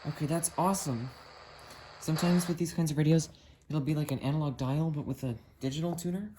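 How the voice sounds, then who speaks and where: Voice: mid-range voice, fairly even intonation, brisk, background noise. A hobbyist talking casually through a hands-on product demo, thinking aloud in a musing, matter-of-fact way. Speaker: amateur video maker, radio hobbyist.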